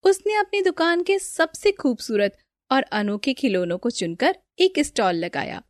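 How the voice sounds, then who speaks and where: Animated, high-voiced woman storyteller. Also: unhurried, no echo, studio quality. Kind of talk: scripted narration of children's moral story.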